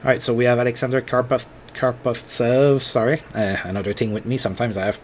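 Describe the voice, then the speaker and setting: A man with a French accent, thinking aloud in halting, casual commentary while playing a management game on his computer. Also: mid-range voice, steady tone, a little hiss or hum. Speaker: let's play host.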